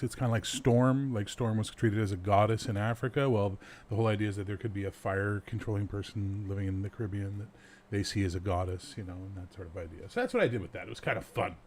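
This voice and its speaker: A man's voice: lowish voice, slight background noise. A middle-aged man casually introducing songs as a show host, rambling and enthusiastic. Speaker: host of an online music show.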